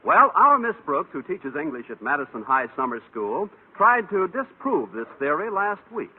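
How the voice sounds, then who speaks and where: A man's voice: a little high, big pitch swings, moderate pace, a little hiss or hum. An American man announcing a sponsored vintage radio comedy in a scripted narrator style. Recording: tinny band-limited vintage radio recording. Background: music.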